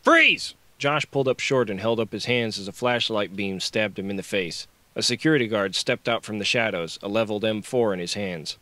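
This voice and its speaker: A man's voice: fairly low pitch, brisk, hardly any pauses, fairly bright tone, dry recording. A man reading dramatic, scripted action fiction as an audiobook narrator.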